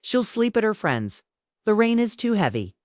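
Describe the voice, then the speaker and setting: A woman narrator plays a guarded young man in a dramatic story. He is polite and uneasy, answering in brief phrases with lively pitch movement. The recording is dry. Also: moderate pace, no echo, close to an everyday voice.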